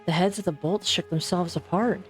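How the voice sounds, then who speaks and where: A woman's voice: fairly low pitch, fairly quick, soft background music, natural voice. A woman playing a serious, analytical lab researcher in a dramatic game fan dub. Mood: serious, concerned.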